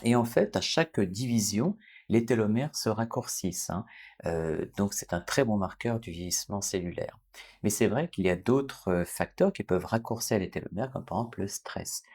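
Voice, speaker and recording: A man in his forties, a doctor from France, explaining a topic to his viewers in an unscripted way, pausing often to correct himself and adding fillers. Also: on the low side, darkish tone, slightly rough. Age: 40s. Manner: explanatory, many hesitations and self-corrections. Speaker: doctor and channel host. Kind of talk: unscripted educational talk to camera.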